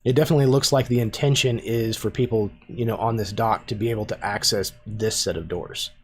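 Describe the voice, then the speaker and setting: Husky-voiced man running a tabletop game. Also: on the low side, brisk. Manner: relaxed, hesitant narration with fillers. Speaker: game master.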